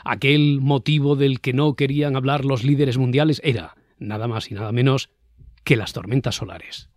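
A man's voice: mid-range voice, normal speed, darkish tone, no room sound. Castilian male podcast host, dry studio sound. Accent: Castilian Spanish. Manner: articulate, radio-presenter delivery. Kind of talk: scripted presenting of a discussion show. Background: faint music bed.

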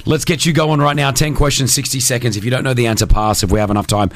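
A man hosting a morning radio show, energetic and upbeat as he runs a cash quiz. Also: medium pitch, fast talker. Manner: energetic, hypes up prizes. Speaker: radio host. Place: radio studio. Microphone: studio mic.

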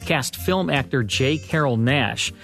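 A man's voice: medium pitch, a little slow, vocal fry, a bit bright, faint music behind. An American man co-hosting a podcast, reading scripted narration about old-time radio. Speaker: podcast co-host.

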